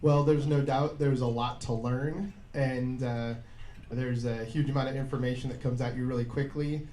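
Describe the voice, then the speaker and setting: Male American panelist, soft-edged mellow tone, little pitch movement, earnest and halting. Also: fairly even intonation. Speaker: newly elected state senator, panelist. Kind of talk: informal panel answers. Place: indoor event room. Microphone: shared event microphone. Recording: echoey room, faint background noise.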